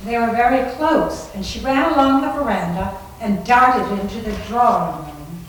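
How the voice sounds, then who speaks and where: A woman's voice: fairly high pitch, lively intonation, normal speed. A woman narrating a scripted story dramatically as part of a readers theater. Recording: very echoey room, distant mic, faint noise.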